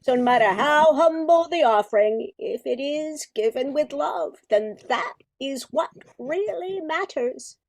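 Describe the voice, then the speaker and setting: A woman's voice: sits high, expressive, unhurried, creaky, hardly any pauses, exaggerated voice. A woman playing a wistful, genteel old Christmas carol come to life, with theatrical delivery in a radio play. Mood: hopeful. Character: wistful, prim old female Christmas carol sheet music.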